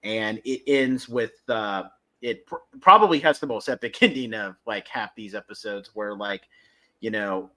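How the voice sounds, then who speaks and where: A man's voice: lowish voice, moderate pace, bright, forceful tone. A man hosting a fan podcast, casually recapping and reviewing episodes with enthusiasm. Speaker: podcast host.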